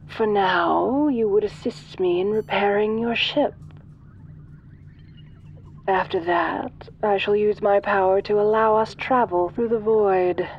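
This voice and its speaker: Woman playing a slow-talking, domineering ancient alien goddess. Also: medium pitch, highs cut off, a little hiss or hum. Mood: calculating, regal. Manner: slow, imperious, formal, silky. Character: ancient domineering female alien, godlike, sinister and seductive. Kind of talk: soft-voiced audio roleplay, addressing the listener.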